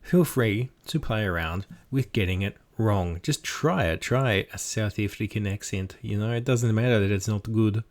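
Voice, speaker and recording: Chatty Australian man, lowish voice. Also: normal speed, hardly any pauses. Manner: chatty, self-deprecating, encouraging. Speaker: game master giving tips. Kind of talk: casual instructional talk.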